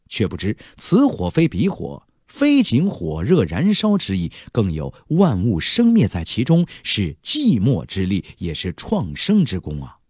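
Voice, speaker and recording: A man narrating an audiobook in a dramatic storytelling style, expressive, with drawn-out emphasis. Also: medium pitch, breathy edge, no echo, studio quality.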